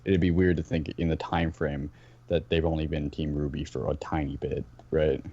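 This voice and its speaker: Man, extremely low voice, casual podcast chat, halting and rephrasing, muffled lo-fi sound. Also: little pitch movement, dark tone. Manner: halting, rephrasing mid-sentence. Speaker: podcast guest. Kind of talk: casual fan discussion.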